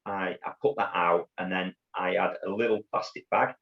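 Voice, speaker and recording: A middle-aged man with a Yorkshire accent, telling his story as an interview guest in a halting way, with many restarts and repeated words. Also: low-pitched, steady tone, creaky. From Bradford, England. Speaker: guest, former undercover police officer. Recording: dull lo-fi audio.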